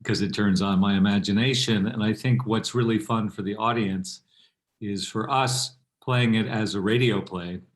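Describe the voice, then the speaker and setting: A man, an actor on a panel, talking casually and a little haltingly as he searches for phrasing. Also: on the low side, fairly even intonation.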